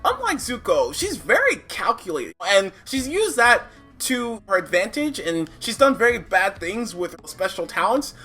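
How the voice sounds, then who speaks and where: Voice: medium pitch, very animated, measured pace, bright, forceful tone, breathy edge. A reviewer giving casual, opinionated commentary in a conversational way, thinking aloud with frequent asides. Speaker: comic book reviewer. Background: background music.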